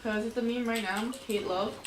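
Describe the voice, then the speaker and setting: A woman's voice: fairly high pitch, steady tone, moderate pace, noisy background. Roomy-sounding woman streamer chatting casually. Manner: rambling, slangy, informal. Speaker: game livestreamer. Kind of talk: casual chat while playing. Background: faint game music.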